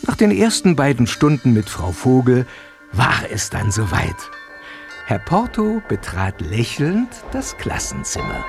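A man's voice: medium pitch, unhurried. A man narrating an audio drama for young listeners in a storytelling manner. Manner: storytelling, expressive. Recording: narration over music.

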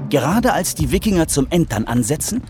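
A man's voice: on the high side, big pitch swings, fairly quick, background noise. A man narrating a scripted children's adventure story over music. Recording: music under the voice.

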